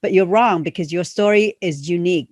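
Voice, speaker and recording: A woman's voice: fairly low pitch, a little fast, darkish tone, no echo, studio quality. A woman coach and memoir author talking casually and earnestly, with non-native English phrasing, many fillers, and a first language that is a Chinese dialect. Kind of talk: casual conversational promotion.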